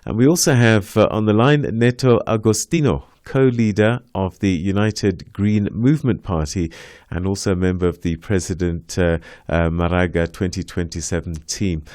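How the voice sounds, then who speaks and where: A man hosting a news talk program, reading news and putting questions to guests with frequent pauses. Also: deep voice, a little mellow, dry recording, studio quality. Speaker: radio show host. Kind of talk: news talk show presenting and interviewing.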